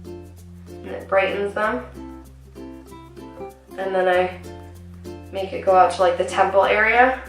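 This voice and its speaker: A young woman with a slightly raspy voice talks her way through a makeup routine, rambling and filling the pauses with ums. She sits a little back from the camera mic in a small home room, so her voice carries a roomy echo, with faint music underneath. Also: medium pitch, recorded from a distance. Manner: chatty, rambling with many ums. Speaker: beauty vlogger. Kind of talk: casual makeup tutorial.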